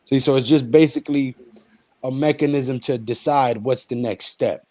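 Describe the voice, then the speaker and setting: Blunt, mellow-voiced man on a sports stream. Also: mid-range voice, steady tone, normal speed, no echo. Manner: joking, blunt, crude banter. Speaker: livestream co-host. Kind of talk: casual sports stream chat.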